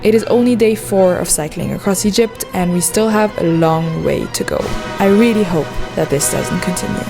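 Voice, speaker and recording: A woman's voice: lowish voice, moderate pace, gravelly. A woman narrating a travel vlog in a voice-over, with a wry, sarcastic edge. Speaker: travel vlogger. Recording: music bed under voice.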